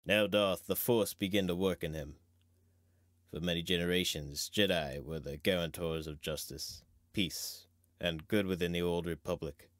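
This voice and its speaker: A man playing a wise, grave old knight and mentor in a measured, theatrical Shakespearean reading. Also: deep voice, steady tone, fairly bright tone, dry recording, clean studio sound. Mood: solemn, instructive.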